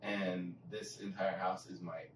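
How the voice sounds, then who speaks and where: Low-voiced man chatting in an echoey room. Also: flat delivery, unhurried. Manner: informal, slangy anecdote telling. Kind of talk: casual chat. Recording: echoey room, distant mic, faint noise.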